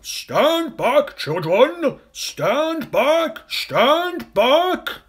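Storybook hero: male narrator playing a huge indignant elephant, loud bellow pitched up, guarding children. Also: big pitch swings, very slow, full character voice. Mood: urgent warning. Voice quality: big, booming.

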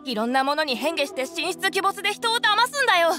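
A woman's voice: very high voice, sing-song, a little fast, bright, forceful tone, faint music behind, exaggerated voice. A woman voicing an earnest, trusting young village boy in a video game, speaking eagerly. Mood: eager explaining.

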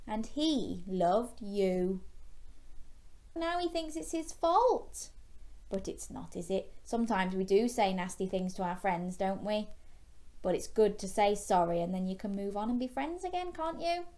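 A young woman reading a children's storybook in a gentle, expressive way, chatting with young listeners through questions. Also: medium pitch, normal speed. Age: young adult. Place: small bedroom, indoors.

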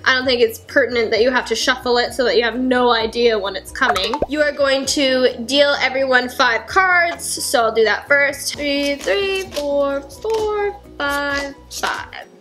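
A young woman in her twenties casually explaining board game rules at home, chatty with lots of fillers, her voice a little hoarse. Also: sits high, a little slow, few pauses, fairly bright tone, soft background music. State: slightly hoarse. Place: home kitchen, indoors. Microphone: fixed camera at table.

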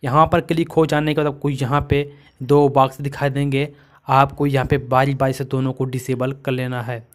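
A man's voice: medium pitch, flat delivery, moderate pace. A man from India narrating a step-by-step phone tutorial as its host, in a repetitive, instructional way.

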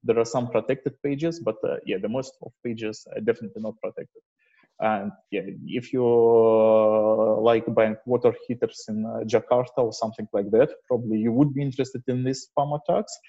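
Male meetup presenter, mellow and husky, hesitant informal delivery, lo-fi call audio. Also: mid-range voice, fairly even intonation, moderate pace. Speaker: presenter at an online developer meetup. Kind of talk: informal technical presentation with slides. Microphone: video call microphone. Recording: dull, muffled lo-fi online call.

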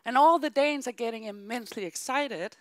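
A woman's voice: a little high, fairly quick, no room sound. A woman giving a keynote talk on a theater stage, telling a story into a handheld microphone and acting out voices in quotes. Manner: animated, acts out voices in quotes. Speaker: keynote speaker.

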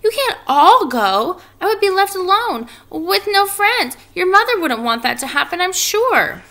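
Storybook young pig, played by a woman: high, bright, very animated, lonely and tender, earnest delivery. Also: unhurried, exaggerated voice. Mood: anxious pleading. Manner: earnest, pleading, exclaiming. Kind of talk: children's storybook character reading.

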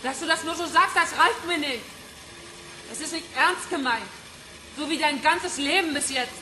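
A woman's voice: high-pitched, moderate pace, sharp tone. An actress playing a vengeful young female hacker in a radio crime drama, controlled and taunting. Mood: stern. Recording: echoey room with faint noise.

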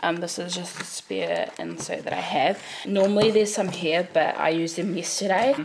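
An eighteen-year-old woman with a croaky voice from a cold, chatting casually to a handheld camera in a chatty, rambling way. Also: on the low side, moderate pace, noisy background. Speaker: parenting vlogger. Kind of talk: casual vlog talk to camera. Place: bright open-plan living room and kitchen. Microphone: handheld camera at arm's length. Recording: echoey room.

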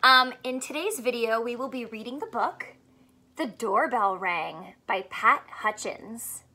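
High, bright-voiced young woman teaching kids. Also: measured pace. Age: young adult. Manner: animated, simple questioning addressed to young children. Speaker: teacher reading aloud to children. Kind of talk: children's read-aloud with a math lesson. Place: small furnished room indoors.

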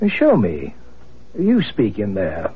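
A man playing a courteous, formal psychic investigator with a raspy voice, in vintage radio drama. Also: on the low side, wildly swooping pitch, full character voice. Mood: intrigued. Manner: formal, polite, deliberate. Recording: muffled lo-fi old radio broadcast.

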